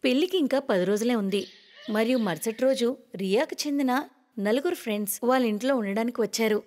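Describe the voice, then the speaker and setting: A woman with a fairly high voice narrates a scripted moral tale. She, and her voice carries a long reverb. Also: fairly quick, darkish tone. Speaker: story narrator. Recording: long reverberant hall echo.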